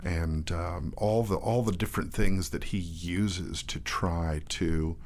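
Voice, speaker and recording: A man talking as a guest in a casual in-depth discussion, often restarting his phrases in a halting way. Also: low voice, fairly even intonation, darkish tone, a little hiss or hum. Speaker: guest, theatre artistic director and performer.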